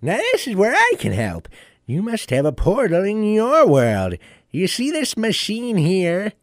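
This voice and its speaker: A man's voice: high-pitched, huge pitch swings, measured pace, no echo, clean studio sound, heavily acted. A man playing a kindly old gadget inventor in a comic audio drama, with a folksy, hesitant, chuckling old-timer delivery. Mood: helpful, proud. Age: older.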